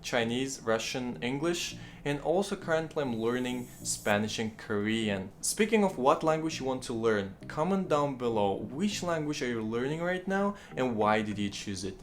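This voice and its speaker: A man's voice: mid-range voice, slight background noise. Young man giving study tips. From Kazakhstan. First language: Kazakh. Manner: friendly, addresses viewers as guys, lively emphatic starts. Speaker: language-learning vlogger. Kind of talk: casual study-tips talk to camera.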